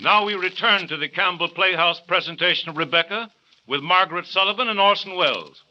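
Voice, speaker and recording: A man formally announcing a radio program in measured 1930s broadcast style. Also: high voice, highs cut off, noisy background.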